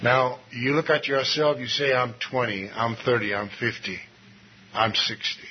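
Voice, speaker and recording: A man preaching a sermon, swinging between low asides and raised exclamations and reading scripture slowly and deliberately. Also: little pitch movement. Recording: dull lo-fi recording with faint noise.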